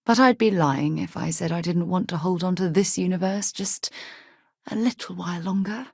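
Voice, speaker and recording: A woman space explorer in a video game, brisk and principled. Her voice sits on the low side and is recorded close and dry. She speaks articulately, with earnest care and wry exasperation. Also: gravelly, darkish tone, breathy edge, no echo. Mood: tender, wistful. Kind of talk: video game character dialogue.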